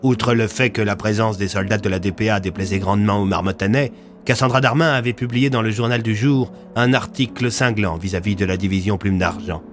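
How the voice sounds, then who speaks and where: A man's voice: on the low side, a little fast. A man narrating scripted audio fiction as a storyteller.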